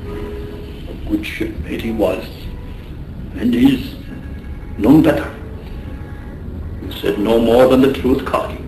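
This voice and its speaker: An actor playing a loyal, warm-hearted Irish seaman in a radio drama, with colourful turns of phrase. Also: mid-range voice, slow pace, pauses often. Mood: tender, loyal. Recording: roomy, faintly noisy radio drama.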